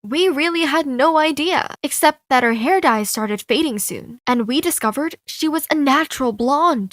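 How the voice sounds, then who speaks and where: A woman narrating an animated story as a fan dub, expressive, theatrical and sassy. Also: high-pitched, no echo, studio quality. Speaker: fan dubber narrating and voicing an animated teen.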